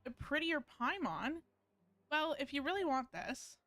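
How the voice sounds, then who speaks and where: A woman's voice: high-pitched, big pitch swings, measured pace, bright, forceful tone, no room sound. A woman giving casual live-stream commentary on a game, rambling and thinking aloud as she plays.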